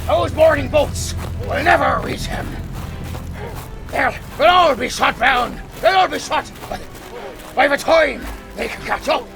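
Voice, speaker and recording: An actor playing a bold, boisterous old pirate captain, theatrical and commanding, in a cinematic audio adventure. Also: male voice, very high-pitched, a little slow, creaky, music in the background, full character voice. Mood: dismayed. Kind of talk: cinematic audio roleplay acting.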